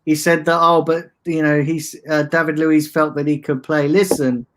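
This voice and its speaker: Soothing-voiced man, relaxed football chat. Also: a little high, normal speed. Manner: laid-back, hesitant, fillers and restarts. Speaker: guest, fan channel streamer.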